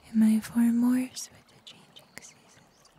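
A young woman playing a gentle, comforting summer angel in an ASMR roleplay, whispering softly and soothingly, close to the microphone. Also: a little high, level tone. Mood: tender, soothing. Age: young adult. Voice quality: soft, breathy whisper. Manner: gentle, soothing, slow and deliberate. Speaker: ASMR performer. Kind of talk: ASMR roleplay, scripted storytelling. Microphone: close sensitive microphone.

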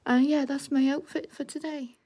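A young woman narrating a casual vlog in a soft, relaxed way. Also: sits high, normal speed, no room sound, studio quality. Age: young adult. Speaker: vlogger.

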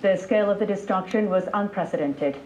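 A woman's voice: mid-range voice, a little fast, a little mellow, slight background noise. A woman presenting scripted television news as an anchor, heard played back over speakers in a room. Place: broadcast replayed over speakers in a lecture room. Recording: dull, echoey playback in a hall.

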